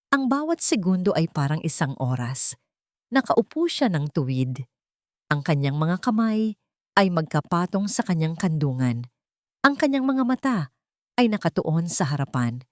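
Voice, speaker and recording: A woman's voice: on the low side, no echo, studio quality. A woman with a Filipino accent reading a dramatic scripted story as an audiobook narrator.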